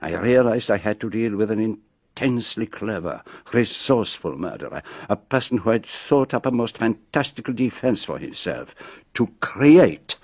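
An actor playing a shrewd, self-assured male detective with a French-speaking accent, speaking in measured, pompous reveals in a radio drama. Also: on the low side, expressive, a little mellow, dry recording, studio quality. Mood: measured, triumphant.

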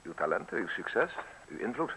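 A sly male stranger in a radio drama, with a lowish, creaky voice that swings widely in pitch. He speaks deliberately and theatrically, with ornate phrases and a show of modesty, over a faintly hissy recording. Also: big pitch swings, bright, forceful tone, exaggerated voice, rough recording. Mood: smoothly flattering. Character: sly, soft-spoken uninvited male visitor, falsely modest and observant. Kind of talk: Dutch radio play acting.

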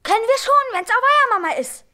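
An actor playing a naive, cheerful middle-school boy in a German audio drama, guileless and excitable in manner. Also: very high-pitched, brisk, heavily acted. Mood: silly.

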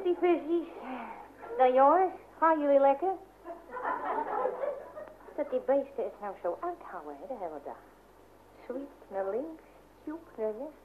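High-voiced cheeky housemaid, old radio. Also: female voice, background noise. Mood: scolding, chatty. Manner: plain-spoken, colloquial. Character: chatty, cheeky, down-to-earth housemaid. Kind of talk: old radio comedy drama acting. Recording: dull vintage radio recording.

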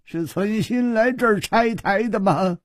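A man voicing a male tavern boss in a martial arts story, loud, mockingly hearty and threatening. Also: high voice, a little slow, dry recording, studio quality. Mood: menacing joviality.

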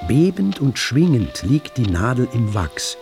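A man's voice: medium pitch, sing-song, unhurried, creaky, a little mellow. A man reading scripted literary narration for a radio drama, in a vivid and precise storytelling manner. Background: prominent music.

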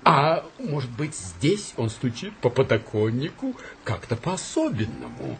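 A man's voice: medium pitch, wildly swooping pitch, unhurried, a little hiss or hum. An actor in a radio play as a whimsical, theatrical boss who savours his words and swings between stern and delighted. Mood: whimsical, intrigued.

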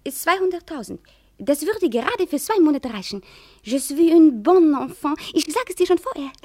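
A woman's voice: high voice, lively intonation, moderate pace, a little mellow. An actress playing a flighty, teasing, mercenary French kept woman in a German radio drama, coquettish and theatrical, sprinkling French phrases into her talk. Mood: seductive, teasing.

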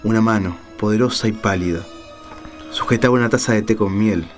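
A man's voice: on the low side, brisk, faint music behind. A man narrating a suspense story in a dramatic literary style.

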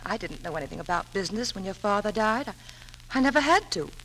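A gentle middle-aged mother in a vintage radio play, fluttery and scatterbrained. Her medium-pitched voice swings expressively and hesitates often, over faint broadcast noise. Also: lively intonation, fast. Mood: apologetic, hurt. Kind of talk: 1940s radio drama acting. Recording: noisy 1940s radio broadcast.

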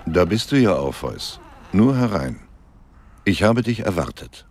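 An actor playing a mysterious, knowing narrator and gatekeeper in a surreal radio drama, speaking in portentous pronouncements with dramatic pauses. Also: male voice, big pitch swings, a little mellow, slight background noise, heavily acted. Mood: knowing, calm. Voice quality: very deep, gravelly, husky.

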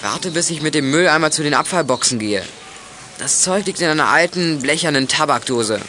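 Man as cocky condescending schoolboy, brusque slang, fairly high voice, audio play, noisy recording. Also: expressive, a little fast, close to an everyday voice. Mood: bossy, irritated.

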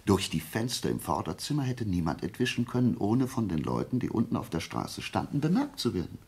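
Methodical radio-play sleuth. Deep, gravelly, animated, lecturing with deliberate emphasis and total confidence. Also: male voice, heavily acted. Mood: assured, analytical. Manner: deliberate, emphatic, didactic explaining. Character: methodical, self-assured male amateur detective reasoning aloud.

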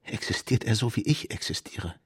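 An actor playing a broken, anxious prisoner in a radio drama, husky and rough, speaking in terse, strained phrases. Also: male voice, on the low side, lively intonation, moderate pace, no echo, in a whisper, studio quality. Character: broken male prisoner, weakened yet anxiously questioning.

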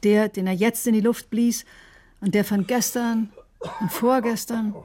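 An actress playing a watchful woman who narrates in the first person in a radio drama, husky and reflective. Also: on the high side, steady tone, unhurried, mellow, natural voice. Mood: wary, reflective. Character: watchful woman recounting a tense encounter.

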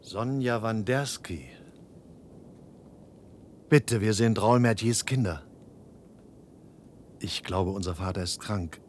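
An actor playing a troubled grown son in a radio play, earnest and imploring. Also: male voice, takes it slowly, long pauses. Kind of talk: German radio play acting. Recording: rumbly, noisy archive radio recording.

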